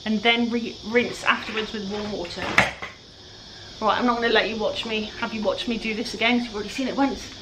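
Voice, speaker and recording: A woman with a croaky, raspy voice, chatting casually while she works through a furniture project. She sounds a little breathless and often lets her sentences trail off quietly. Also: mid-range voice, steady tone, brisk, noisy background. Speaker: furniture flipper. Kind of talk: casual how-to chat while working.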